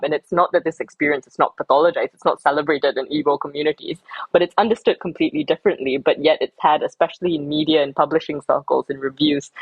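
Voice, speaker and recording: A woman from Singapore talks through her research as an interview guest. She is reflective and academic, often pausing with ums and restarting sentences. The recording is slightly dull and dry. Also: low voice, husky, no echo.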